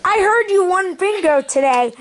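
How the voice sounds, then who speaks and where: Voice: extremely high pitch, expressive, moderate pace, voice pushed up. A performer heard as a woman, voicing a cheerful, eager puppet interviewer in playful on-the-spot questioning. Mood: chirpy, eager. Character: cheerful puppet interviewer and camp chef, high-voiced. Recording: chatty crowd behind, amateur camera.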